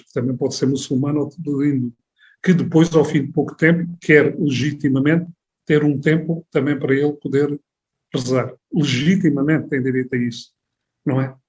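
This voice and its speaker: A man's voice: a little high, a little mellow. A man from the Alentejo in Portugal with an Alentejo accent, talking at length as a guest in a radio interview, with many restarts and rhetorical questions. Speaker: regional tourism board president, guest. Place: radio studio.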